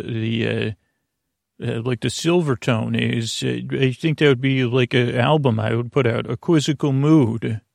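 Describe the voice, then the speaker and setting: A man hosting a sleep podcast, rambling in a halting, meandering way that circles back and trails off, with a creaky voice. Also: mid-range voice, no echo, studio quality. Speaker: podcast host.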